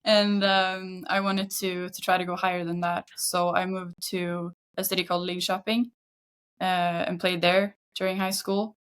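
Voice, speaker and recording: A woman in her thirties speaks English with a Swedish accent, in a voice set a little low. She talks in a casual interview style, telling things in her own words as a guest. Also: little pitch movement, normal speed, fairly bright tone, smooth. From Sweden. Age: 30s. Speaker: podcast guest, football agent.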